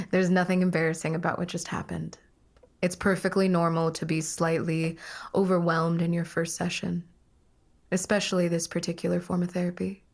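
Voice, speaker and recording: A woman playing a calm, reassuring dream therapist in an audio drama, speaking in a measured, gently humorous way. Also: on the low side, creaky. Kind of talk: naturalistic audio drama dialogue.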